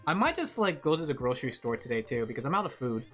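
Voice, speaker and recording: A woman's voice: extremely low pitch, sing-song, fast talker, fairly bright tone, soft background music. A woman VTuber streamer chatting casually with her viewers while drawing, rambling and laughing often.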